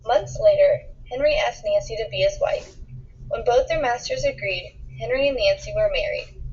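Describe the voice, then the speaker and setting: A woman reading a children's picture book aloud in an expressive storytelling style, acting out the dialogue with emphasis. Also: on the high side, moderate pace, husky, highs cut off. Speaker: storybook reader.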